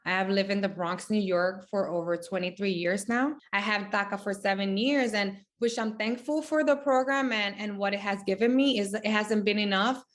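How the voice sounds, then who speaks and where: A woman from the Dominican Republic speaking candidly and haltingly in an interview. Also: mid-range voice. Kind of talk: recorded interview testimony.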